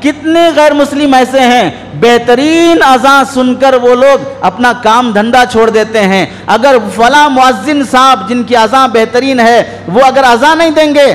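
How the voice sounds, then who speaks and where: High-pitched male preacher in an echoey hall. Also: measured pace, hardly any pauses, fairly bright tone. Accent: Indian. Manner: scolding, exhorting with rhetorical questions. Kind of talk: sermon. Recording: echoey hall with long reverb.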